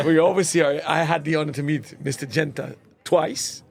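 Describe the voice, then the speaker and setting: A man hosting a conversational interview, deliberate in how he lays out his points. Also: on the high side, steady tone, vocal fry. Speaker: interview show host.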